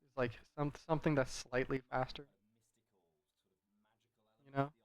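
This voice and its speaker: A man's voice: mid-range voice, level tone, measured pace, smooth, dry recording. A man giving casual, rambling commentary over a video game in a conversational, hedging way. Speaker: gameplay commentator.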